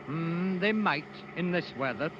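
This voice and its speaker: A man's voice: a little high, lively intonation, a little slow. An actor playing an irritable, ruthless henchman who gives bossy orders in a 1930s radio drama. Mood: menacing, commanding. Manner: snappish, bossy. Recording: noisy muffled 1930s radio broadcast.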